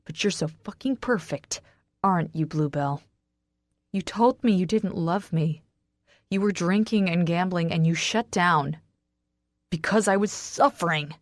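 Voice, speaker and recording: An intense, wounded man played by a woman narrator in an audiobook drama. He speaks with bitter force and a hot temper, measured in pace, and the sound is dry and close. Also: lowish voice, dry recording, close to an everyday voice. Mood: bitter rage.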